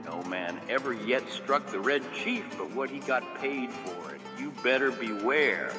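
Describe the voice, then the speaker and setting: A man's voice: medium pitch, expressive, measured pace, voice pushed down, lightly acted. A man voicing a rowdy, defiant small boy in a storybook, sullen and threatening, with folksy talk. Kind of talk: storybook character voice. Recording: long reverb, music behind the voice.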